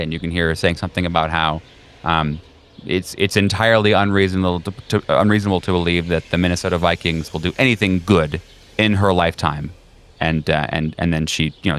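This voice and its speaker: A deep, smooth-voiced man runs a tabletop game. He narrates scenes off the cuff, conversationally and with many halting pauses. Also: fairly bright tone. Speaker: game master.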